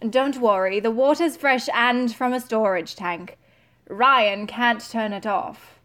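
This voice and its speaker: A woman acts a self-assured scientist in an audio drama. Her voice is fairly high, and she is brisk, commanding and matter-of-fact. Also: fairly bright tone. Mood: reassuring.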